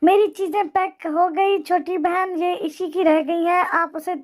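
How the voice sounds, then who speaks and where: Woman voicing sensible young woman, matter-of-fact, high hoarse voice. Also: steady tone, unhurried. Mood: plain.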